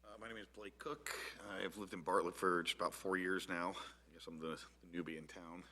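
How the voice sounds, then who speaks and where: A man's voice: sits low, steady tone, a little fast, bright, forceful tone. A man, a new commission member, informally introducing himself at a meeting.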